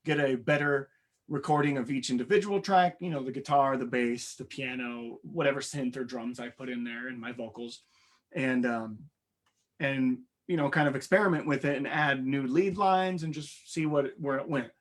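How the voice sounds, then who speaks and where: Young man, raspy voice, modest and rambling interview guest, mid-range pitch. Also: normal speed. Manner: modest, rambling, many fillers and restarts. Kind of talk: casual interview chat.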